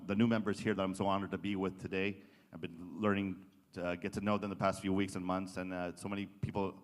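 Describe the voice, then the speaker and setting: A man with a very low voice gives an earnest political speech. He is from Israel and speaks somewhat haltingly, and the hall around him adds long reverb. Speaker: state assembly member, panel speaker. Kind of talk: semi-formal political speech to an audience. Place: large indoor conference session room.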